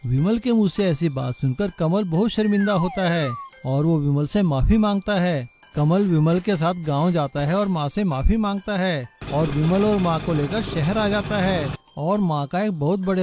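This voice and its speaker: A man's voice: sits high, moderate pace, hardly any pauses, over music. A man narrating a scripted moral story as a storyteller.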